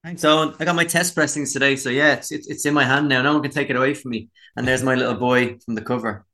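A man's voice: medium pitch, steady tone, fast, bright, forceful tone. An Irish man speaking as a musician in a casual interview, candid and reflective. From Ireland.

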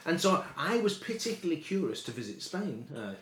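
A man presents a music segment on a podcast in loose, casual conversation. His voice sits a little high and his delivery halts and hesitates as he goes. The recording is noticeably roomy and echoey. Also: sing-song, fairly quick, fairly bright tone, a little hiss or hum. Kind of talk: casual chat. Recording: roomy, echoey room sound.

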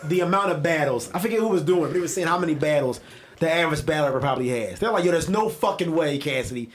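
Philly podcast co-host, male, higher-pitched voice, animated, profane, storytelling. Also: fast. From Philadelphia. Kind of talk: casual chat.

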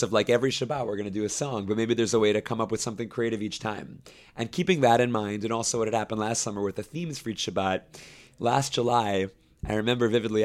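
A young man who directs a camp choir, explaining his work at length in a relaxed interview, with fillers and restarts. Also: lowish voice. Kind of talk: conversational interview.

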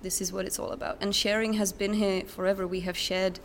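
A woman's voice: mid-range voice, level tone, a little fast. Young woman, hesitant, faint venue noise. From Czech Republic. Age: young adult. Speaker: interview guest, recent graduate. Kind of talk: conversational interview answers. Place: conference center. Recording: background noise at conference venue. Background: ambient noise, distant sound check.

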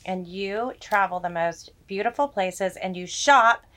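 A woman's voice: medium pitch, a little slow, fairly bright tone. A woman hosting a podcast, asking casual interview questions and backing the guest up with frequent short replies. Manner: encouraging, with frequent backchannel replies. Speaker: podcast host.

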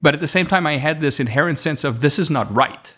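A man's voice: a little high, quick pace, pushed and bright. A man from South Africa whose first language is Afrikaans, in his thirties, talking as a podcast guest. He tells his story reflectively, with frequent restarts and fillers. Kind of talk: conversational interview storytelling.

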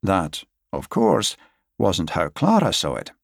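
Low-pitched man narrating an audiobook. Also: huge pitch swings, slow, creaky, no room sound, clean studio sound. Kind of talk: scripted literary narration.

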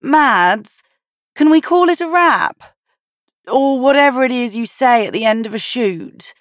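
Woman voicing a loud, high, impatient sister. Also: animated, unhurried, dry recording, clean studio sound. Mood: fed up. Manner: loud, complaining. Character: weary, impatient younger sister bellowing across a garden.

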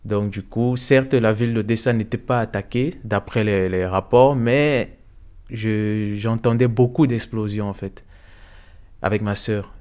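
Young man, from Cameroon, lowish voice, hesitant, soft, filler words, interviewee. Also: steady tone, a little slow, smooth. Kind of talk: personal testimony interview.

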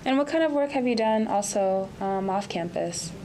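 A woman asks podcast interview questions, often opening with a hesitant filler. Her voice sits at a middle pitch, with a faint hiss in the background. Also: expressive, moderate pace. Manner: hesitant, starts with "um".

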